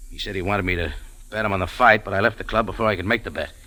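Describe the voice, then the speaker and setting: An actor playing a wisecracking, unflappable cafe owner and amateur sleuth, wry and casual, in a 1940s radio detective style. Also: male voice, deep voice, racing, sharp tone, poor audio. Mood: sardonic. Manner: wry, hard-boiled, casual. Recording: old radio drama recording.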